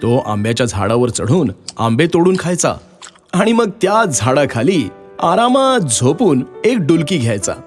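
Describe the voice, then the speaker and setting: A man's voice: fairly high pitch, big pitch swings, normal speed. A man narrating a scripted children's story as a storyteller. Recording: music behind the voice.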